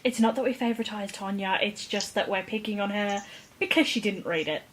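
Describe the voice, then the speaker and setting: A woman with a mid-pitched voice co-hosts a podcast in loose, casual banter. She is wry and joking. A little background noise sits behind her voice. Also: brisk, rough recording. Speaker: podcast co-host. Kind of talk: casual comedic chat.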